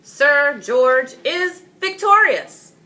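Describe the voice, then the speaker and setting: A woman voicing an authoritative male king in a storybook, speaking in a pompous, formal and deliberate manner. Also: sing-song, sharp tone, exaggerated voice. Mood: solemn authority. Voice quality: deep, authoritative voice. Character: solemn, commanding old king with sons.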